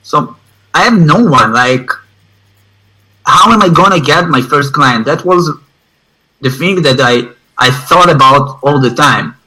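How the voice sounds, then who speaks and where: Male interview guest, Israeli accent, non-native English. Slightly high, bright, forceful voice; informal, emphatic, repetitive for stress. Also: animated, unhurried, poor audio. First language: not English, which is a third language. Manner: emphatic, repeats phrases for stress. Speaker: interview guest, online advertising student.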